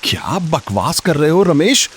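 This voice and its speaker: A man playing blustering, scheming village villain, animated. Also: on the high side, a little slow, a little hiss or hum. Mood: defensive bluster. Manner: accusing, blustering. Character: scheming greedy jealous village eatery owner, bullying. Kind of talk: dramatic Hindi moral-story cartoon acting.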